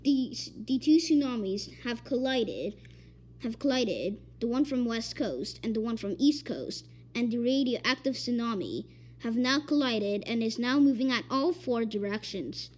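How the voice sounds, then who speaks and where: A woman reading a made-up emergency alert, halting and stumbling over repeated words. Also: fairly high pitch, animated, a little mellow. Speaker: amateur emergency alert announcer. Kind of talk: improvised emergency broadcast reading.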